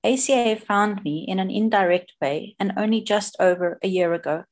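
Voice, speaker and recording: A woman's voice: medium pitch, normal speed, a little mellow. South African woman telling her story. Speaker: intergroup chair sharing her story. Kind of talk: personal share on a video call. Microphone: video call.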